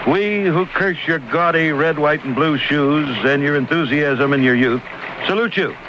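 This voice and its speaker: A man's voice: fairly high pitch, normal speed. A man delivering a mock-formal, tongue-in-cheek oratorical radio tribute. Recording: dull, noisy lo-fi radio tape.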